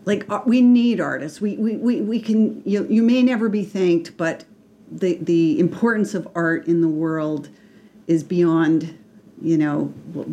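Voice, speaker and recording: A woman's voice: fairly low pitch, warm tone. A middle-aged woman from Canada, a guest artist in a casual interview, talking hesitantly with many restarts and fillers. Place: radio studio.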